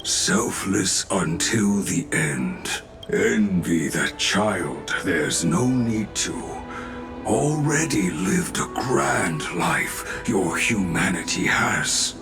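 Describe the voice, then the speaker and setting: An ancient, solemn master smith of a fantasy game, low and gravelly. He speaks extremely slowly, in cryptic inverted phrases. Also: animated, music in the background, heavily acted. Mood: solemn, measured. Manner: slow, inverted word order. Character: ancient divine craftsman, solemn, cryptic old male smith. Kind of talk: video game voice acting.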